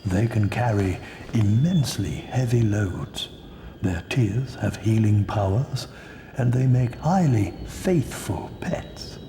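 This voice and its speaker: A gentle old headmaster wizard. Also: male voice, lowish voice, creaky, echoey room, a little hiss or hum, full character voice. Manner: calm, gentle, measured.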